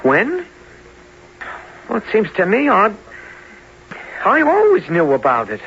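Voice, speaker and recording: A man's voice: high-pitched, huge pitch swings, slow pace, full character voice. An actor playing an earnest, haunted young man in a 1970s radio drama, hesitant and dramatic in his delivery. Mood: flustered, insistent. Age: young adult. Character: earnest, intense young man haunted by past-life memories. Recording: dull, noisy 1970s radio recording.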